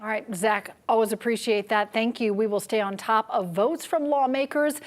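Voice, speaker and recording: A woman's voice: fairly high pitch, moderate pace. A woman anchoring a television news broadcast in a presenting style.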